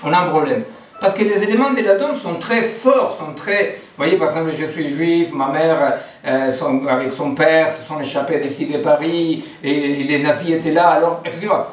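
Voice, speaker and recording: A man's voice: fairly high pitch, normal speed, a little hiss or hum. A man from Argentina, giving a philosophy seminar lecture, digressive, with many fillers and rhetorical questions. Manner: digressive, frequent fillers and rhetorical "n'est-ce pas". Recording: roomy, distant mic.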